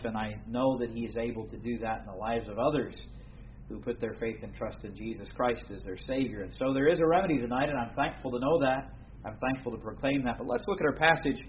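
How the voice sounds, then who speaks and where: Earnest American man preaching a sermon. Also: mid-range voice. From United States. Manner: earnest, formal, with frequent pauses and fillers. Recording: dull lo-fi recording with faint room noise.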